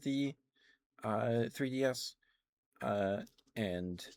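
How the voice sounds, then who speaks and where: A man's voice: medium pitch, extremely slow, darkish tone, no echo. A man streaming ASMR, chatting casually in a rambling, hesitant way into a close microphone. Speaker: live streamer. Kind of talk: ASMR, casual rambling chat to live viewers.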